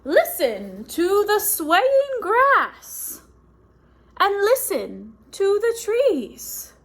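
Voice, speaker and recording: Woman as a gentle, high-voiced wise little cricket. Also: huge pitch swings, very slow, heavily acted. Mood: gently encouraging.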